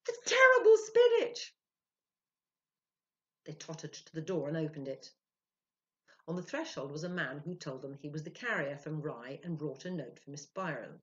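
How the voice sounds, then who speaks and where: A woman in her sixties giving a theatrical, expressive dramatic reading of a story as a professional storyteller. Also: low-pitched, normal speed. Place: small indoor room. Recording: dull lo-fi webcam sound.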